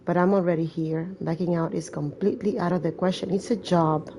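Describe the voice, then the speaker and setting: Low-pitched, creaky-voiced woman gamer. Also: steady tone, fairly quick, warm tone, a little hiss or hum. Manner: casual, chatty, joking asides. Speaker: gamer playing and reading aloud a horror visual novel. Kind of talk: gameplay commentary mixed with story reading.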